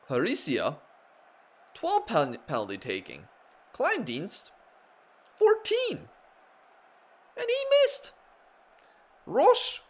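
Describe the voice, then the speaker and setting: Lively streamer talking through a game. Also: male voice, high voice. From Canada. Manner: animated, reacting aloud to results. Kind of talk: live game stream commentary.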